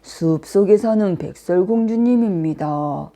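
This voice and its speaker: A woman voicing a solemn magic mirror in a fairy tale, answering in a measured, formal way. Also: fairly low pitch, warm tone.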